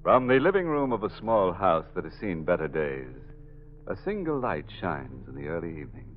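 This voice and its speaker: A man's voice: low voice, very animated, moderate pace. A man playing a gleefully macabre horror host in 1940s radio style, theatrical and mock-sinister. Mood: grave narrating. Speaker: host and narrator. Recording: muffled old radio recording, faint music.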